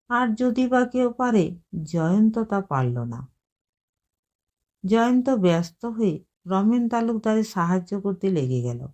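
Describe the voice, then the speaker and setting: A woman narrating a short story in a measured, unhurried storytelling manner. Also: medium pitch, mellow, muffled recording.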